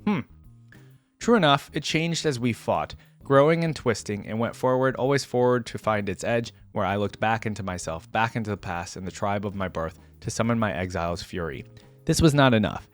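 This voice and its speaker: Low-pitched man, casual reaction commentary. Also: animated, moderate pace, faint music behind. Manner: informal, conversational, thinking aloud. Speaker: host of a game reaction video. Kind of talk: casual reaction commentary, partly reading text aloud.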